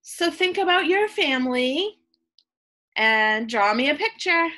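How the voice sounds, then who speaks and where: A woman reading a children's picture book aloud like a teacher, with expressive delivery aimed at young listeners. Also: high voice, a little slow. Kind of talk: children's read-aloud, scripted narration.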